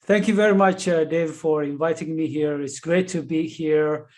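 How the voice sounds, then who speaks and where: Ethiopian-accented man, fairly high voice. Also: steady tone, moderate pace, a little mellow. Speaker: guest, leadership coach and author. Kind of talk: interview answers.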